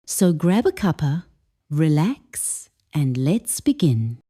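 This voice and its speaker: A woman, an English teacher, calmly reading a first-person story aloud as scripted narration. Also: low voice, slow pace, slightly rough.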